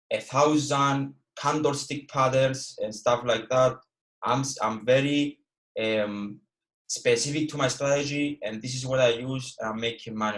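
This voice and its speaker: A man's voice: medium pitch, fairly bright tone, rough recording. A man in his twenties with a Greek Cypriot accent, a native Greek speaker, teaching a live online trading lesson in a casual, direct way and checking in with "okay" and "all right". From Cyprus. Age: 20s. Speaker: guest trading educator.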